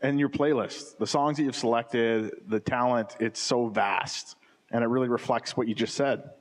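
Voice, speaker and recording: A man emceeing a live museum event on a handheld mic, brisk and jovial, sometimes tripping over words. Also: medium pitch, steady tone. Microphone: handheld mic at a podium.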